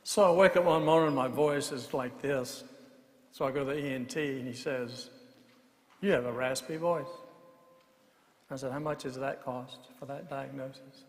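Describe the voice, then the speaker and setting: A man with a raspy voice giving a talk as guest interview trainer, with dry humor. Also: mid-range voice, normal speed, pauses often. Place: event hall. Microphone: lavalier. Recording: reverberant event hall.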